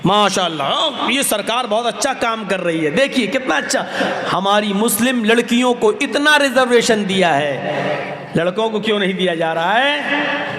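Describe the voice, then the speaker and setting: High-pitched male preacher, echoing. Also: lively intonation, normal speed, a little hiss or hum. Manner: oratorical, with rhetorical questions and emphatic repetition. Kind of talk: impassioned public sermon. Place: large public gathering, over a PA system. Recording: echoey loudspeaker hall, crowd behind.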